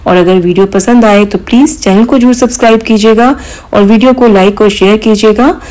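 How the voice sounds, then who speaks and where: A woman hosting a cooking channel, calmly explaining each step of a recipe in voice-over, with occasional small hesitations. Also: medium pitch, fairly quick, low-quality recording. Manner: calm step-by-step instruction with mild hesitations.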